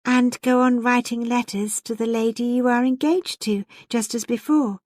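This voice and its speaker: A woman's voice: a little high, steady tone, moderate pace, a little mellow, dry recording, clean studio sound, voice pushed up, close to an everyday voice. A woman voicing a proud, coaxing young lady in a novel, with a petulant, importunate manner. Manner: importunate, emotional pleading.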